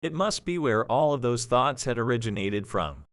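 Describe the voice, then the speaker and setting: Expressive male story narrator. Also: mid-range voice, moderate pace, dry recording, studio quality. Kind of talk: scripted story narration for an audio drama.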